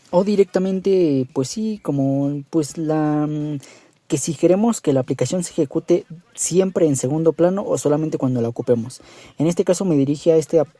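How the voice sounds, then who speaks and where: A man's voice: on the high side, dark tone, no room sound. A man narrating a casual app tutorial in an informal, meandering way, often checking in with the listener. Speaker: tutorial host.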